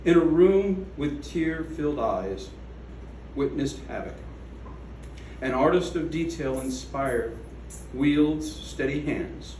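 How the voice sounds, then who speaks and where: A man reading his own poem aloud at a gallery event, slow and measured, with falling line endings. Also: on the high side, animated, warm tone, slight background noise. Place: art gallery room. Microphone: away from the microphone. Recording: echoey room from a distance.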